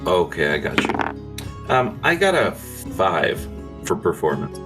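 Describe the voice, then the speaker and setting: A man's voice: low-pitched, very animated, slow pace, over music. A man playing a tabletop game, hesitant and unsure. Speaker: player.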